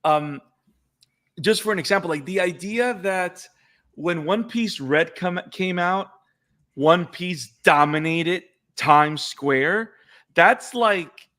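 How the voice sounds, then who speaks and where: A man from Puerto Rico, a native Spanish speaker with a Puerto Rican accent, talking excitedly as a podcast co-host in casual chat. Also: high-pitched, slow. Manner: excited, repeats words when fired up.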